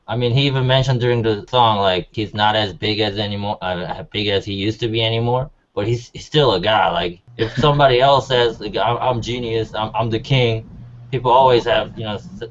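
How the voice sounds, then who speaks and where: A man co-hosting a music panel, speaking in an informal, conversational way. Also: on the low side. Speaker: co-host. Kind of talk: casual panel chat. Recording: dull audio with clear background noise.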